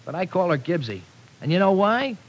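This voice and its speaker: An actor playing a cocky, defensive young ex-offender in an old radio drama, with brash, slangy wisecracks. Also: male voice, high voice, big pitch swings, fairly quick. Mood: brash, defiant. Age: young adult. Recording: noisy vintage radio recording.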